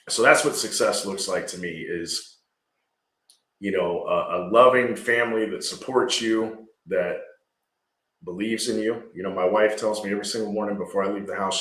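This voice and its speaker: A man's voice: low voice, fairly bright tone, roomy sound, poor audio. Earnest man in his forties. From Georgia. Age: 40s. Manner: earnest, rambling, frequent "right?". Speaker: landscaping company owner, guest. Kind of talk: casual podcast conversation.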